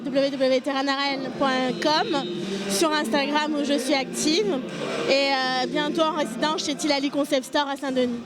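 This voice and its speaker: A woman's voice: high voice, level tone, measured pace, a loud crowd. A woman presenting her own craft brand in unscripted speech, as its founder. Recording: loud music behind voice. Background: loud music.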